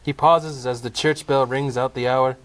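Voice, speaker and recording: Mid-pitched man, dramatic story narrator. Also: steady tone, normal speed. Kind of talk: dramatic scripted narration of a comic Christmas play.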